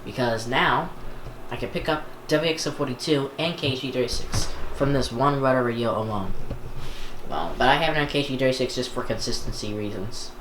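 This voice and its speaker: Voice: mid-range voice, fairly quick. A person talking casually and off the cuff for a vlog, rambling and often restarting sentences. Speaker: video creator showing a radio collection. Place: indoor room. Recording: echoey room with background noise.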